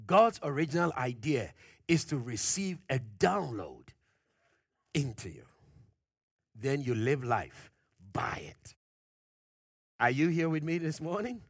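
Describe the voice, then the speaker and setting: A man preaching a sermon, pressing his points with questions to the congregation, loud at the peaks and dropping low and quiet at phrase ends. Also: big pitch swings, takes it slowly, long pauses, slightly rough, dry recording, studio quality. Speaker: pastor.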